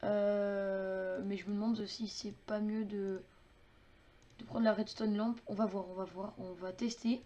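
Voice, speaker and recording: A woman's voice: mid-range voice, little pitch movement, unhurried, dark tone, highs cut off. A woman narrating a casual game tutorial while building, chatty and full of fillers and little clicking sounds.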